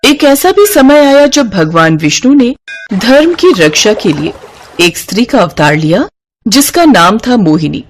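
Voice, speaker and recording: A woman's voice: on the high side, very animated, unhurried, faint music behind. A woman narrator delivering a scripted mythological tale. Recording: faint background noise.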